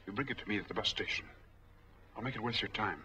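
A man's voice: lowish voice, fast, close to an everyday voice. An actor playing a tense, guarded man on the run in a 1940s film noir, speaking tersely and in a businesslike way. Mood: brisk, decisive. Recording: muffled, noisy 1940s film soundtrack.

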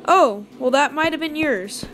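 Chatty young female gamer, high voice. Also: lively intonation, unhurried, a bit bright, slight background noise. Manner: chatty, upbeat, meandering recap. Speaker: gaming channel host. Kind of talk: casual gameplay commentary.

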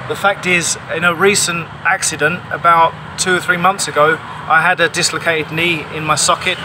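A man in his forties giving an emphatic, insistent explanation to camera, recorded on a phone outdoors. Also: on the high side. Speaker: paraglider pilot vlogging. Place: outdoors under a tarpaulin canopy. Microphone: phone at arm's length. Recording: clear outdoor ambient noise.

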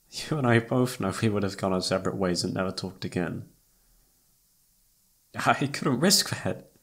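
Young male best friend played by a man, romantic audio roleplay. Husky with vocal fry, intimate, emotional, halting, self-reproachful. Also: medium pitch, a bit bright, full character voice.

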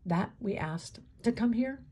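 A woman's voice: a little high, lively intonation, a little slow, warm tone, breathy edge, pitched above the performer's own voice. A woman voicing a defiant male new prisoner who answers back indignantly.

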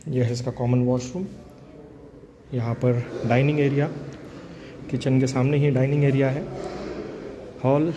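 Man, even-pitched, casual property walkthrough on a phone, clear room noise behind. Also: measured pace, pauses often. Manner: casual, descriptive, pointing things out room by room. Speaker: property tour guide. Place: empty house, walking from room to room.

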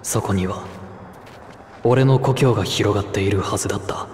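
A man's voice: lowish voice, very fast, darkish tone, slight background noise, lightly acted. A man playing a somber young man in a video game, narrating an uneasy homecoming in a brooding, reflective way. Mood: somber, troubled. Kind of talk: scripted game cutscene monologue. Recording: long echoing reverb, music underneath.

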